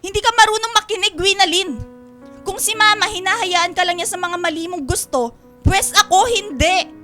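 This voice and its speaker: A woman's voice: very high voice, normal speed, pushed and bright, soft background music. A woman playing a worried, scolding elder sister in a Filipino radio drama, pleading and reproachful. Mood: angry.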